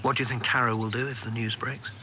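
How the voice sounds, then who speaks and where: Lewis, a bright-voiced, worried confidant. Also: male voice, medium pitch, level tone, fast, a little hiss or hum. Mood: practical. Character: Lewis, worried, principled male government confidant, discreet and pragmatic. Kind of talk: naturalistic radio drama acting.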